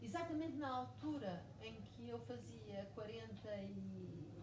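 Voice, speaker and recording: A woman's voice: a little high, measured pace. Emphatic woman in an echoey bookshop. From Mozambique. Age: 40s. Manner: emphatic and repetitive, animated storytelling. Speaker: author guest. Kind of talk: conversation at a live book event. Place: bookshop event room. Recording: echoey room, far mic, noisy.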